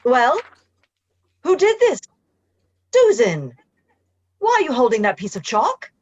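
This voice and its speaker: A woman acting a stern, indignant schoolteacher in a radio play, scolding with sharp questions, over dull lo-fi audio. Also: high-pitched, huge pitch swings, slow, full character voice. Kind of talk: live radio drama script reading.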